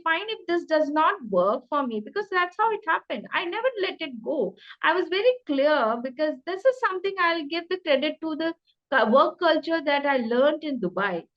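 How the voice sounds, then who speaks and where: A woman's voice: high voice, lively intonation, moderate pace, highs cut off. A middle-aged woman with an Indian accent speaks conversationally as an interview guest, talkative and emphatic, often repeating phrases. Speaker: interview guest, career changer.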